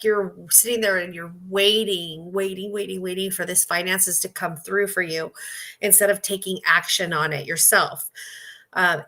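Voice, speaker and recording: Bright-voiced woman in her fifties. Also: mid-range voice. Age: 50s. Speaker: tarot card reader. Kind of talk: casual spoken psychic reading.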